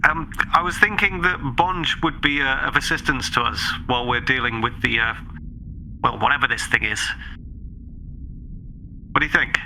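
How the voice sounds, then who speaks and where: A man with a very bright, mid-pitched voice roleplays in a tabletop game. He is courteous and tentative, padding out his sentences, over a faintly noisy recording. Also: moderate pace, long pauses. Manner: polite, tentative, wordy. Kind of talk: in-character improvised roleplay.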